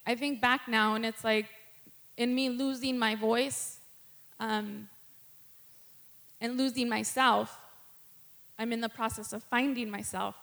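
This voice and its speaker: A woman's voice: fairly high pitch, vocal fry, fairly bright tone. A middle-aged woman giving a candid personal testimony sermon from the pulpit, nervous and laughing between thoughts. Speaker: guest preacher.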